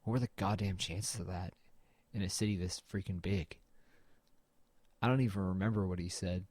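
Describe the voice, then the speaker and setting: A man's voice: sits low, fairly even intonation, moderate pace, a little mellow, no echo. A man playing a brooding, melancholy artist who narrates an audio drama in a reflective, understated, slightly hesitant way. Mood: brooding, uneasy.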